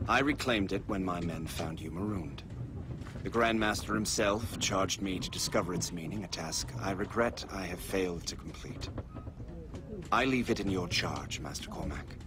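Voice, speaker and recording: A grave military colonel dictates a letter in a very deep, formal voice, played by a man. His delivery is measured, and a clear hiss of noise sits under it. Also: natural voice. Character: grave British colonel writing a farewell letter. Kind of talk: voice-over letter reading.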